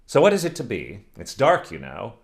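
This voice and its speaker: A man's voice: lowish voice, huge pitch swings, moderate pace, vocal fry, heavily acted. Unbothered village man, offhand and easy, voiced by a male reader. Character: indifferent village man, friend of the father.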